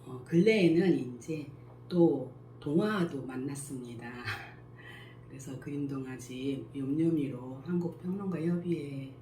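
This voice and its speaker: A woman in her sixties, a school principal and picture book author, answering interview questions in a slow, modest, measured way. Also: rumbling low, warm tone. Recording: echoey room, faint background noise.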